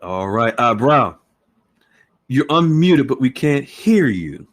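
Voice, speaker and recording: A man's voice: medium pitch, slow pace. Guy hosting a call-in chat, laughy and stammery, voice goes all over the place. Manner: animated, laughing, stammering restarts. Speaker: host.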